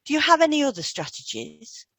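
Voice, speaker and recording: A woman's voice: sits high, wildly swooping pitch, fairly quick, fairly bright tone, no room sound. A woman co-hosting a podcast, reading scripted instructional narration. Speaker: podcast co-host, hypnotherapist.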